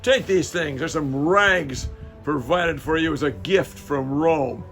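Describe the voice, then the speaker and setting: A man playing a reflective, doubting Roman soldier who is proud of his rank, speaking in a measured, halting way in a dramatic reading. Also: on the high side, a bit bright, faint music behind. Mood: curt, commanding. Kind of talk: dramatic reading of a church passion play.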